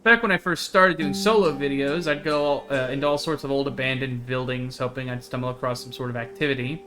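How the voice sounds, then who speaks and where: A man's voice: medium pitch, fairly even intonation, brisk, natural voice. A man voicing a chatty, earnest young woman who hunts cryptids in a visual novel, with a folksy, eager way of talking. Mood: chatty, wistful. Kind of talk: visual novel dialogue read aloud. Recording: music behind the voice.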